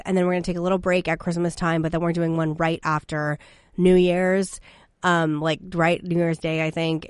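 A woman's voice: fairly low pitch, smooth, dry recording. A woman in her thirties hosting a podcast in a studio, chatty and gushing, still sick with a lingering cough. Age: 30s. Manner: chatty, laughs often, warm and gushing toward her friend. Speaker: podcast host. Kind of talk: casual chat. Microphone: studio mic.

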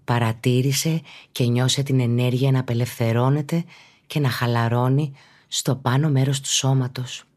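Husky low-key woman guiding meditation. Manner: calm, measured, instructional. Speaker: yoga and meditation teacher, podcast host. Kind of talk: guided relaxation meditation narration.

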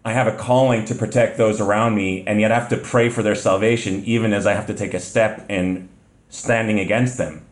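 Earnest guy, kinda low voice, measured and reflective, echoey room. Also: fairly even intonation. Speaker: guest. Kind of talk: podcast interview.

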